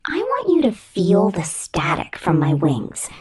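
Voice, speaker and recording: Woman acting breathy, cruel robot overseer, swooping pitch. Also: huge pitch swings, darkish tone, full character voice. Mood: menacing, possessive. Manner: condescending, menacing, slow theatrical delivery with frequent whispers. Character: cruel, domineering female killer robot corporate supervisor. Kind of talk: scripted ASMR roleplay.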